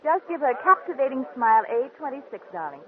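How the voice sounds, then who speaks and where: An actress playing a doting, breezy Hollywood society sweetheart in a 1940s radio drama, gushing and coaxing. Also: female voice, sits high, big pitch swings, fast talker, crowd noise. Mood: breezy, teasing. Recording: phone-like old 1940s radio recording.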